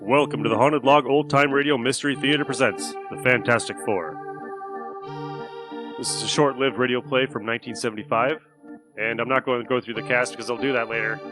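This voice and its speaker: A man's voice: mid-range voice, fairly even intonation, fairly quick. A man casually introducing a show as podcast host. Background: music.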